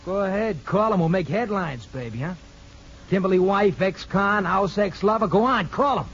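An actor playing a menacing criminal ex-lover in a 1950s radio drama, slangy, needling and bullying. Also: male voice, high-pitched, animated, normal speed, fairly bright tone, husky, exaggerated voice. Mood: threatening, mocking. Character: menacing, sneering criminal ex-lover, blackmailing tough guy. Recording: dull, hissy 1950s radio recording.

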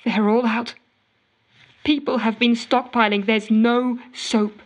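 High-voiced, exasperated, frantic woman. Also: little pitch movement, slow. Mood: indignant, fed up. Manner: melodramatic, indignant storytelling with sarcastic quoting. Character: exasperated, frantic office woman ranting to a colleague. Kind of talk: audio fiction monologue as a voicemail message.

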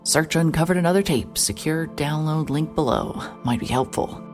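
An actress playing a wry, hard-hearted private investigator narrating a noir audio drama in a dry, deliberate way. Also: female voice, deep voice, darkish tone, faint music behind. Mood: dry, sardonic.

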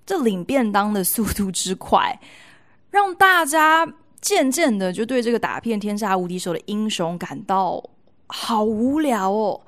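A woman with a Taiwanese Mandarin accent hosting a podcast, telling stories chattily with playful, expressive emphasis. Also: fairly high pitch. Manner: expressive, playful emphasis with colloquial sentence-ending particles. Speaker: podcast host. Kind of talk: chatty storytelling monologue to listeners.

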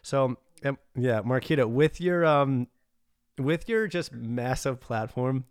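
Upbeat man with a crisp voice. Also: mid-range voice, animated, slow, dry recording, studio quality. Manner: upbeat, affirming, lots of fillers. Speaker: podcast host. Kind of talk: casual interview chat.

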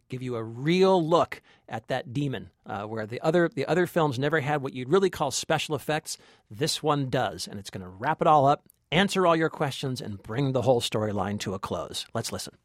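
A man's voice: medium pitch, no room sound, studio quality. A man co-hosting a film review podcast in casual chat, emphatic and often repeating himself for effect. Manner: emphatic, repeats himself, mimics lines mockingly.

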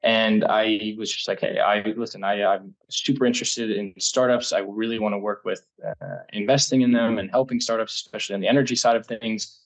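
Husky low-voiced man, from the United States, rambling, self-correcting, laughs a lot, interview guest. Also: steady tone, brisk, rough recording. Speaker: guest, startup incubator energy lead. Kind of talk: casual interview storytelling.

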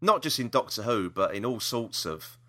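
A man's voice: mid-range voice, very animated, moderate pace, bright, forceful tone. A man chatting casually as a podcast co-host, enthusiastic and repeating himself for emphasis. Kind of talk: casual review chat about audio dramas.

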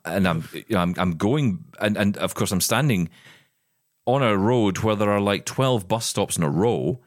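Podcast co-host, male, low voice, loose rambling delivery, close dry mic. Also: lively intonation, moderate pace, no room sound. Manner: rambling, repeats words when starting sentences. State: blind, describing progressive vision loss. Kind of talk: casual chat.

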